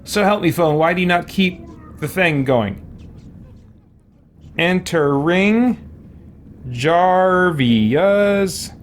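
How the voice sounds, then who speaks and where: A man's voice: high-pitched, expressive, drawn-out words, pauses often, slight background noise. A man chatting casually on a game stream, thinking aloud with mild exasperation. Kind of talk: casual, muttering live stream chat.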